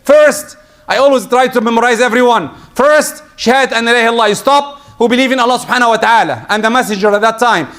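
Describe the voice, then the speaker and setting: A man giving a Friday sermon in a high voice, switching into quick recitation and prayers. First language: Arabic. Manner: lively preaching, quick Arabic recitation and prayers. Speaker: preacher.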